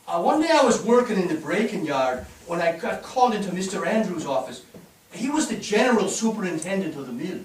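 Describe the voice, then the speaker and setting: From far off in an echoey theatre, a man plays an immigrant telling stories of his youth. His high voice moves in lively swings, with folksy little asides. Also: a little fast, a little hiss or hum. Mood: reminiscing. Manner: animated storytelling, folksy asides. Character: chuckling Irish immigrant man recounting his boyhood. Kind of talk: staged play reading, reminiscence. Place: theatre stage. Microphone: distant microphone. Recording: distant, echoey stage pickup.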